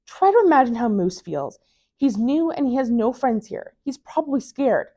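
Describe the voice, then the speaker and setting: Female reader as male owl teacher, storybook, encouraging, gentle, patient, animated pitch. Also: normal speed. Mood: gentle, reassuring. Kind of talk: picture-book read-aloud dialogue.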